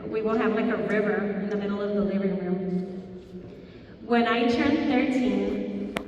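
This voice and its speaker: A woman from Mexico, giving an earnest personal motivational speech at a school in a slow storytelling manner with emphatic pauses. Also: fairly high pitch, slight background noise. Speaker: guest speaker at a school. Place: large school hall. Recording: echoey hall, voice from far off.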